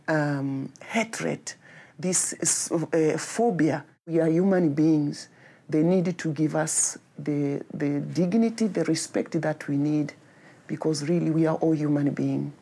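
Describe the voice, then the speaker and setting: A woman from the Democratic Republic of Congo with a Congolese accent, speaking haltingly as an interviewee. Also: low-pitched, warm tone, slightly rough. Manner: halting, searching for words. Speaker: interviewee, refugee.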